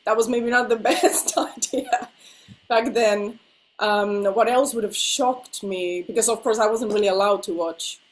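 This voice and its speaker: A woman's voice: mid-range voice, unhurried, a little hiss or hum. A woman with a slightly nasal voice from being ill, whose first language is Finnish, chatting casually over a video call as the interviewed singer and laughing often.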